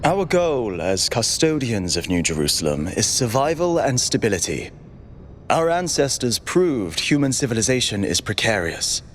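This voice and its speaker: Man playing a cautious android bureaucrat, animated pitch. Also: moderate pace, a little hiss or hum, natural voice. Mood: weary, disapproving. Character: cautious, overworked male android bureaucrat, chief aide. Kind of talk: video game dialogue.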